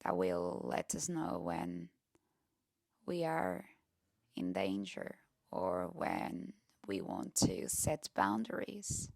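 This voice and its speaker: A woman leading a guided meditation in a gentle, soft-spoken way, often slipping into near-whisper with pauses. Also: a bass voice, big pitch swings, creaky, no echo, clean studio sound.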